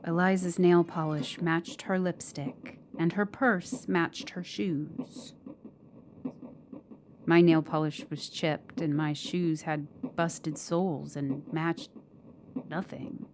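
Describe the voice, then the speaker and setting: A woman's voice: sits low, steady tone, slow pace, darkish tone, a little hiss or hum. An actress playing a brooding, insecure woman who narrates her troubled romance as an intimate, bitter, haunted ghost story monologue. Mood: bleak, bitter. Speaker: narrator and lead character of a horror audio drama. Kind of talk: dramatic first-person ghost story monologue. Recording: music behind the voice. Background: musical underscore.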